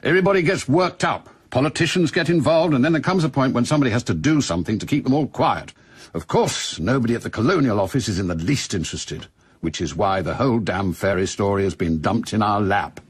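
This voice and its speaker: Grumpy older british spy boss in a radio play, really rough husky voice, lively pitch swings, bossy. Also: male voice, fast talker, no room sound. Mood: exasperated. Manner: clipped, commanding, impatient. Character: gruff older male spymaster, brusque and dismissive. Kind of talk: radio drama acting.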